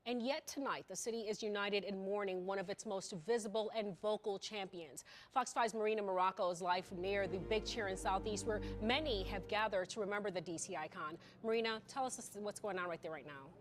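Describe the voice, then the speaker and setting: A woman's voice: mid-range voice, brisk, hardly any pauses, soft background music. A woman anchoring TV news, presenting and discussing the story with a colleague in a studio.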